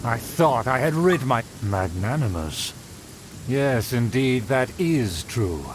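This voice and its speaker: A man's voice: mid-range voice, animated, takes it slowly. A haughty game aristocrat who talks down to everyone in a formal, pompous manner. His delivery rises and falls grandly, and there is a faint hiss behind it. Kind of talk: video game voice acting.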